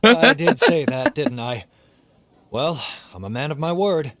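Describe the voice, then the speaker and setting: A man's voice: fairly high pitch, very animated, a little slow, crowd noise. A man voicing an adult inn boss in a video game, genial and generous, with an easygoing, wry manner. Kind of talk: animated game dialogue. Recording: game music behind the voice.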